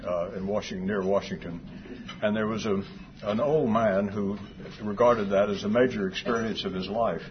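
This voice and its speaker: An older man from Texas giving an after-lunch talk, soft-voiced, with dry humor and many pauses. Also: fairly low pitch. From Fort Worth, Texas. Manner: dry humor, hesitant with fillers. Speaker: guest speaker. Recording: dull lo-fi sound with clear background noise.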